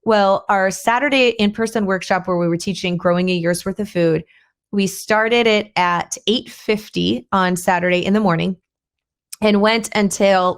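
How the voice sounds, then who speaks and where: A middle-aged woman with a hoarse voice hosting her own podcast, chatting in a rambling, thinking-aloud way with frequent restarts. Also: medium pitch. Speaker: podcast host. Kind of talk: casual solo podcast talk.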